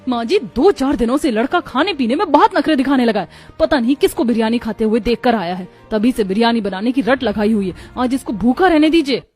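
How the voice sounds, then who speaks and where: A woman's voice: a little high, lively intonation, a little fast, hardly any pauses, darkish tone, slightly rough, soft background music. A woman voicing an exasperated, poor young mother and daughter-in-law in a cartoon story, complaining emphatically. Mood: irritated. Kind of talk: Hindi animated moral story acting.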